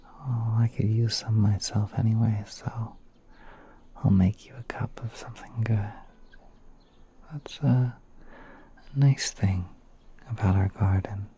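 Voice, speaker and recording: A gentle spider prince, acted by a man, speaks in a mellow, breathy half-whisper. He is slow, courteous and a little hesitant, as in an intimate ASMR roleplay. Noticeable background noise sits behind the voice. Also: lowish voice, steady tone. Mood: hospitable, reassuring. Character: gentle, courteous spider prince soothing a guest in his garden.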